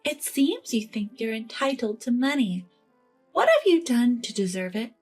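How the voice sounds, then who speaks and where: Woman as a firm, scolding mother-in-law, high voice. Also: very animated, normal speed, a little mellow, soft background music. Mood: stern. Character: stern, exasperated older mother-in-law lecturing the bride. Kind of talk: dramatized online family story.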